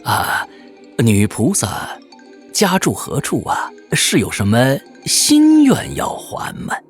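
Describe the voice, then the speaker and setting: A storyteller voicing a gentle, pious male monk master with a measured, courteous manner, in an audio picture-book drama. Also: medium pitch, wildly swooping pitch, soft background music, pitched below the performer's own voice. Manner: measured, courteous, hesitant openings.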